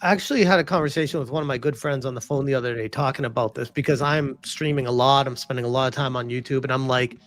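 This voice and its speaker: A man's voice: mid-range voice, fast, hardly any pauses, a bit bright. A man who streams, a guest on a podcast panel, who talks casually and swears freely.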